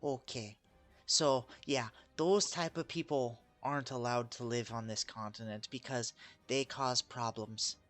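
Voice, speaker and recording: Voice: mid-range voice, fairly even intonation, a little slow, a bit bright, faint music behind. A game master with an eastern South Dakota accent, running a tabletop game and often restarting sentences.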